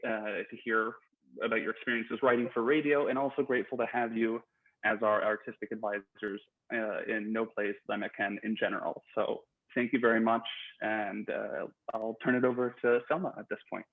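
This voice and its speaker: A man hosting an online panel, giving a formal, measured and polite introduction of the speakers. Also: lowish voice, level tone. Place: online video call. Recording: thin, phone-like online call audio.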